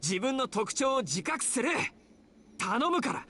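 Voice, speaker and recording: A man's voice: very high-pitched, sing-song, moderate pace, a bit bright, exaggerated voice. A man playing a blunt, exasperated, sensible teenage boy in a Japanese visual novel. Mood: scolding.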